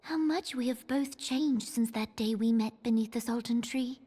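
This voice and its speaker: An actress playing a solemn, resolute young sultana in a fantasy game, speaking in formal, stately, archaic phrases. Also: female voice, on the high side, normal speed, dark tone, breathy edge. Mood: grateful, wistful. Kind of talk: scripted fantasy game cutscene acting.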